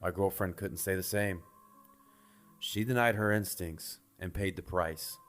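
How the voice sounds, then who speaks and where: A man's voice: low voice, measured pace, a bit bright. A man reading a scripted first-person creepypasta as a horror story narrator. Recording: music behind the voice.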